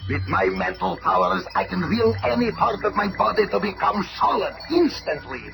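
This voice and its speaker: A man's voice: high voice, wildly swooping pitch, moderate pace, gravelly, heavily acted. An actor playing a pompous male supervillain scientist with ghostly powers, grandiose and menacing in a 1970s radio superhero drama. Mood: scornful boasting. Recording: 1970s radio show with loud music.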